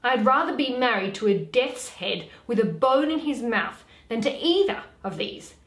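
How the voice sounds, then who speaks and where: A woman playing a witty, weary young noblewoman in a dramatic, deliberate reading of Shakespeare. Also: fairly high pitch, expressive, lightly acted. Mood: mocking. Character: Portia, witty weary young noblewoman, mocking her suitors. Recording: echoey room.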